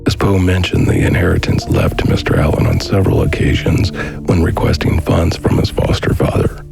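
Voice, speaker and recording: Very deep, gravelly male narrator. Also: very animated, moderate pace. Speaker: documentary narrator. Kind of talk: scripted documentary narration, reading letters and biography. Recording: faint music behind the voice. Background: faint background music.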